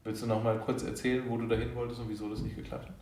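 A man's voice: medium pitch, flat delivery, very fast, a little mellow. A man asking plain, prompting questions as a documentary interviewer. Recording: roomy echo.

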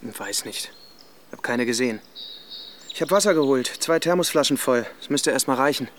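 An actor playing a taciturn, touchy husband in a radio drama, curt and matter-of-fact in delivery. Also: male voice, medium pitch, expressive, moderate pace, a bit bright, slight background noise, natural voice. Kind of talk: German radio drama acting.

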